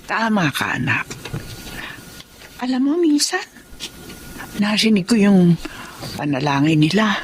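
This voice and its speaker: A woman's voice: mid-range voice, sing-song, slow, pauses often, slight background noise. An actress playing a tender elderly Filipino mother in a radio drama. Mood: tender, touched. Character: tender elderly mother and grandmother, easily touched.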